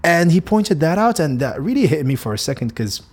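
A man's voice: a little high, big pitch swings, a little fast, breathy edge. A young man from Morocco with a Moroccan accent, Arabic his first language, talking through a casual solo podcast in a rambling way, full of restarts and fillers. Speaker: podcast host.

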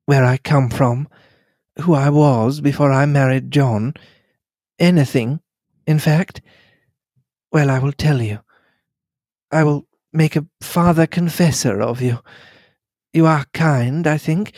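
A man reading the lines of a reserved young English wife, speaking quietly and in a measured way. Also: on the high side, no room sound. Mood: thoughtful.

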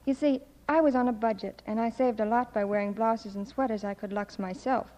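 Mellow young starlet on 1940s radio. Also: female voice, on the high side, brisk. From United States, Ohio. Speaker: guest starlet giving a sponsor testimonial. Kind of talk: 1940s scripted radio interview. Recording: dull, reverberant old radio broadcast.

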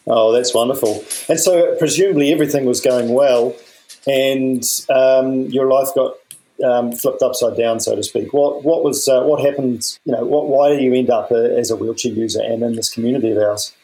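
A man's voice: mid-range voice, steady tone, normal speed, dark tone, low-quality recording. Enthusiastic male podcast host. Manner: enthusiastic, repeats words when asking questions. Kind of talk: hosting an interview podcast.

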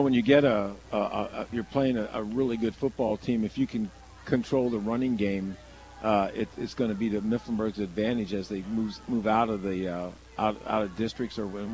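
Low-voiced American man giving football colour commentary. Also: steady tone, fairly quick, darkish tone, poor audio. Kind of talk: conversational radio football analysis. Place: live at a high school football game.

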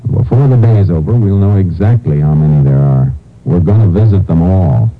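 An actor playing a laconic, folksy Texas Ranger detective in an old-time radio crime drama, speaking matter-of-factly. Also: male voice, sits low, lively intonation, normal speed, narrow, tinny audio. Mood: businesslike. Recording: band-limited vintage radio recording with music.

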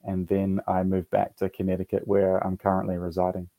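A man's voice: low-pitched, monotone, fairly quick, gravelly, warm tone, no room sound. A man with a New Zealand accent, a guest in his forties telling his story on a radio talk show, hesitant with many fillers and restarts. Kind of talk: casual interview.

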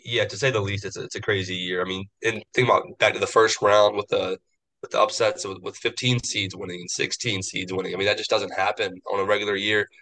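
A man's voice: fairly low pitch, fairly even intonation, fast, bright, forceful tone, poor audio. A man co-hosting a sports podcast, a bit nasal after a cold, rambling casually. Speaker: podcast co-host.

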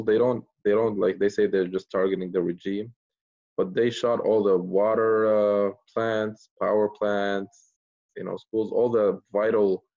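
Host: blunt, casual man, gravelly dark voice, dull lo-fi sound. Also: lowish voice. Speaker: podcast host. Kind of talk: casual interview.

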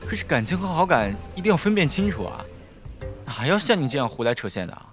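A man voicing an excitable, easily flustered college student in a campus audio drama. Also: fairly high pitch, fast, faint music behind. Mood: earnest, guarded. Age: young adult.

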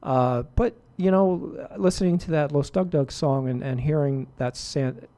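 A middle-aged man hosting a music radio show, chatting casually between songs in a relaxed, rambling way with many fillers and restarts. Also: fairly high pitch, measured pace.

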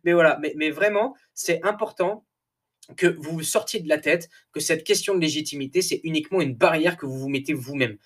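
Chatty man, lively podcast talk. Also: fairly high pitch, a little fast. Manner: animated, laughs often, repeats and restarts words. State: a little tired. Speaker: podcast host. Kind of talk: casual motivational podcast monologue.

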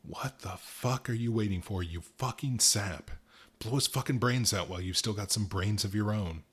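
Man voicing a snarling insect-like phantom, foul-mouthed, low husky growl. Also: moderate pace, fairly bright tone. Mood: menacing. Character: menacing winged insect-like male apparition.

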